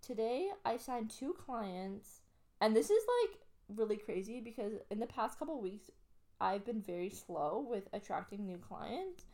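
Chatty Canadian woman with gravelly fry. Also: on the high side, sing-song, measured pace, mellow. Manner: chatty, upbeat, lots of fillers. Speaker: podcast co-host. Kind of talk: casual chat.

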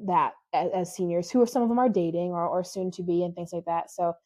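A woman who teaches high school, talking casually about her classes in a mellow voice. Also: fairly even intonation, quick pace, dry recording.

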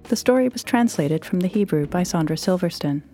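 Mellow, low-pitched woman introducing over music. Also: lively intonation, a little fast. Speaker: host, magazine fiction editor. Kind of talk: scripted podcast introduction.

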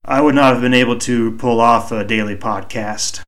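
Male solo podcast host, mid-pitched, soothing and measured, home mic. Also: fairly even intonation, a bit bright. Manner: measured, reflective, deliberately slow. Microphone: home microphone.

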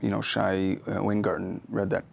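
A man hosting a podcast, thinking aloud in halting, searching sentences full of fillers and restarts. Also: fairly low pitch, flat delivery, vocal fry, husky. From Israel. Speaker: podcast host. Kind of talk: reflective conversational interview.

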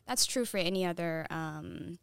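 A woman who grew up in Saudi Arabia with Tigrinya as her first language speaks as a guest on a podcast, earnest and articulate and quick to laugh. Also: lowish voice, vocal fry, smooth, no echo. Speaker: podcast guest, brand owner. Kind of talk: casual conversational interview.

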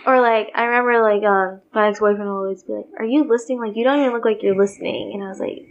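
Woman, monotone, understated, casual chat, slightly muffled lo-fi sound. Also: medium pitch, quick pace.

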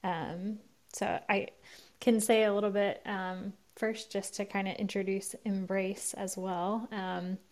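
A woman who manages programs at a nonprofit, answering interview questions in a relaxed way with many filler words. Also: mid-range voice, takes it slowly.

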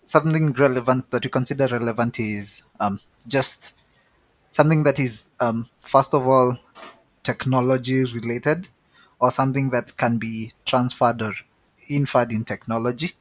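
A man co-hosting a tech podcast in a relaxed, chatty way, often repeating words as he thinks. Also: medium pitch, normal speed, no room sound. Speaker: podcast co-host. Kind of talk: casual chat.

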